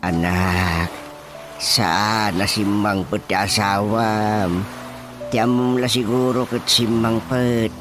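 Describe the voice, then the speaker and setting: A man's voice: fairly low pitch, flat delivery, slow pace. A man playing a grave Ilocano village father. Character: worried father and village chairman. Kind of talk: radio drama acting. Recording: radio drama with loud music behind.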